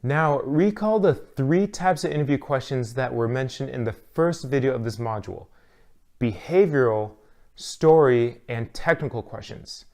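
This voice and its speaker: A man working as an instructor, delivering scripted instructional narration in a clear, measured, advisory manner. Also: mid-range voice, a little mellow.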